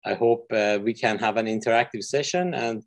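A man's voice: lowish voice, moderate pace, vocal fry. A middle-aged man from Iran giving a friendly, explanatory online physics lecture over a video call from his office, with frequent fillers. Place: office with windows.

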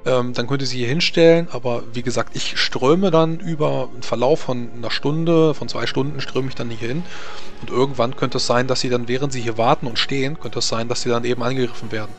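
A man running a tabletop game as game master, calmly explaining rules and tools. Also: mid-range voice, a little fast.

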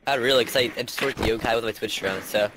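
Mid-pitched man, casual shooter player, team chat, heavy game noise behind. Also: quick pace. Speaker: gamer playing a team shooter. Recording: very noisy game chat, gunfire, clicks. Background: game gunfire and clicks.

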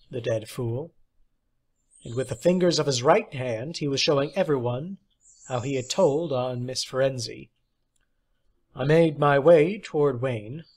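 A man's voice: medium pitch, measured pace. A man reading a short story aloud as scripted audiobook narration. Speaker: audiobook reader.

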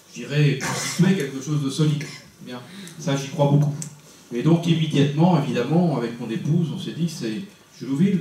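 Man, 60s, local mayor at a campaign meeting; measured, many fillers, mellow voice, heavy room echo from afar. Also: medium pitch, distant mic, slight background noise. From Normandy, France. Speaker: mayor, substitute candidate. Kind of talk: introducing himself at a campaign meeting. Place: small meeting room, indoors. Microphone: handheld mic, filmed by a far camera. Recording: very echoey room heard from afar.

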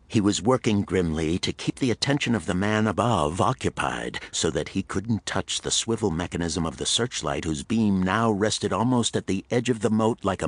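Deep male audiobook narrator, suspenseful pulp thriller read, very dry close sound. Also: dry recording. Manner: dramatic, suspenseful storytelling.

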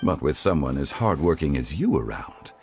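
A man voicing a calm, dignified male scholar in a game, speaking in a measured, formal way. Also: rumbling low, vocal fry, faint music behind, heavily acted. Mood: composed.

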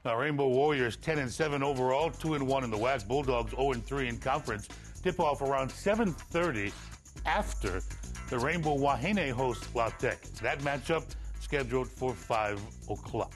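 A middle-aged man delivers a scripted sports report from a TV news studio. His voice sits at a medium pitch, with faint music around it. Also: moderate pace. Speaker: TV sports anchor.

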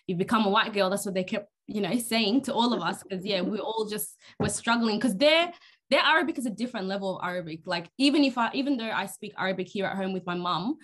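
A woman on a video call tells an animated story with quick asides during a relaxed podcast chat. Her voice sits at a middle pitch. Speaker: podcast co-host. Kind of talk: casual group discussion.

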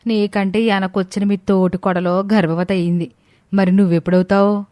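A woman's voice: mid-range voice, flat delivery, moderate pace, a little mellow, dry recording, studio quality, natural voice. A woman voicing a nosy, tactless older neighbour in a family story, prying in colloquial village talk.

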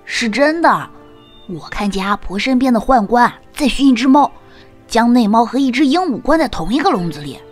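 A woman's voice: on the high side, very animated, moderate pace, soft background music. A woman playing an eager, mischievous young boy in a historical audio drama. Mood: excited, gossipy.

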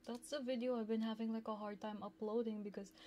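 A soft-spoken, playful woman chatting casually with viewers on an ASMR livestream, close to a binaural microphone. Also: fairly high pitch, little pitch movement, fast. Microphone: close binaural ear microphone. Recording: clear background noise.

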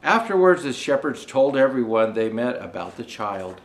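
An older man in a Santa role reading a children's storybook aloud, deliberately and with expressive rises on his phrases. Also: mid-range voice, background noise. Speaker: storyteller dressed as Santa Claus.

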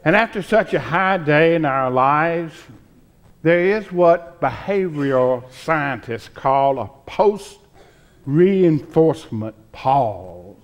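A man in his sixties preaching a sermon as a pastor, with deliberate, measured phrasing and dramatic pauses. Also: a little high, expressive. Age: 60s. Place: church sanctuary, at the pulpit. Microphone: pulpit microphone.

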